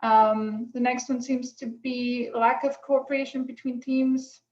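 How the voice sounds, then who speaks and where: A woman from Greece moderating an online workshop over a video call, relaxed, with many pauses and fillers. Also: a little high. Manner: relaxed, many ums, thinking aloud. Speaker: workshop facilitator. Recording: echoey room, dull lo-fi sound.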